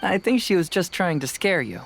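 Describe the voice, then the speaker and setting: An actor playing a confident, fearless young male detective in a video game, reasoning in a measured, analytical way. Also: high voice, sing-song, gravelly, a bit bright, a little hiss or hum, full character voice. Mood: reasoning, assured. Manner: measured, reasoning, self-assured.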